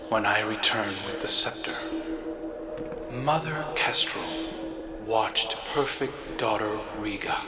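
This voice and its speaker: An actor playing a brooding, wistful princely brother, telling a tale deliberately in a recorded travel log for a video game. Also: male voice, fairly low pitch. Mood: wry, wistful. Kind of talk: slow scripted storytelling monologue.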